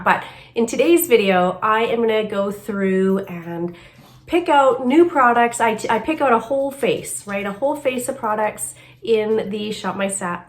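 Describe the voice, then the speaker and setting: A middle-aged woman vlogging casually about her makeup at home, talking in a chatty, rambling way with a slightly nasal voice from a cold. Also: on the high side. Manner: chatty, rambling, self-deprecating asides. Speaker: beauty vlogger. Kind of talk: casual chat to camera. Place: home, then moves upstairs to makeup desk.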